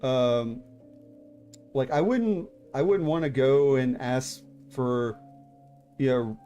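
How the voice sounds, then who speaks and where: A man's voice: mid-range voice, takes it slowly, dark tone, smooth, highs cut off, faint music behind. A man in his thirties hosting a live stream, chatting casually with viewers, hesitant with many fillers and restarts. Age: 30s. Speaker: VTuber streamer hosting writing critiques.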